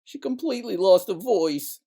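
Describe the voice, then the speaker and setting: A man's voice: sits high, lively intonation, moderate pace, dark tone. A man playing a swaggering, defensive theater playboy and pianist in a noir audio drama, fussy and indignant. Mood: protective.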